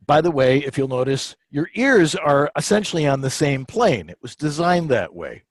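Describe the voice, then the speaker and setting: Higher-voiced, animated man teaching voiceover technique. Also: normal speed, no echo, studio quality. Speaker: voiceover instructor. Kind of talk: informal hands-on instructional talk.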